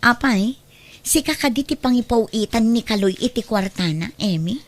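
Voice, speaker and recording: A woman's voice: a little high, animated, normal speed, no room sound. A woman acting as a thrifty neighbor who is reluctant to lend money again, in an audio drama. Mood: wary. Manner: wary, reluctant.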